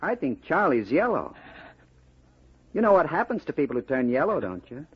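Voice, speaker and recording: An actor playing a ruthless gangster boss in a 1950s radio drama, mocking, commanding and coolly sinister. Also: male voice, fairly high pitch, very animated, normal speed, breathy edge, dry recording, heavily acted. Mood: taunting, menacing. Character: ruthless, taunting gangster boss with smooth cruelty. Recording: dull vintage radio recording.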